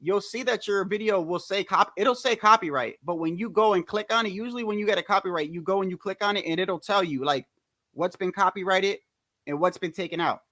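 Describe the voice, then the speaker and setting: A man with a New Jersey accent and a high-pitched voice, hosting a casual boxing livestream in a rambling, slangy way, repeating himself often. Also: brisk, sharp tone, no echo. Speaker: boxing commentary livestream host.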